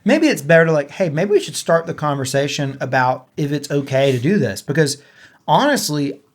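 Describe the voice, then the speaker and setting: Higher-voiced man in casual podcast chat. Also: sing-song, brisk. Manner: informal, thinking aloud, conversational. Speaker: podcast co-host. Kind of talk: casual conversational podcast chat about gaming.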